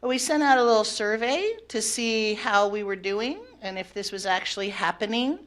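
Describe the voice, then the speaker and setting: Loud-voiced woman presenting at the podium. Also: mid-range voice, normal speed. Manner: loud voice, talks partly off the cuff with fillers. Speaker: teachers' union negotiator. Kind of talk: semi-prepared public presentation. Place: board of education meeting room. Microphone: podium microphone.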